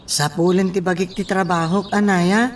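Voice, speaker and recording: Man acting a halting, high-voiced meek young man. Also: flat delivery, recorded from a distance, a little hiss or hum, close to an everyday voice. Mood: hesitant. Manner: halting, stammering, unsure. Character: hesitant, meek young man, eager to please his mother. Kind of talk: radio drama acting.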